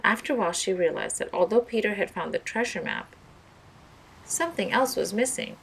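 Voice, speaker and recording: A woman's voice: mid-range voice, expressive, brisk, vocal fry, a little hiss or hum, rough recording. A woman narrating a children's mystery storybook as a read-aloud storyteller.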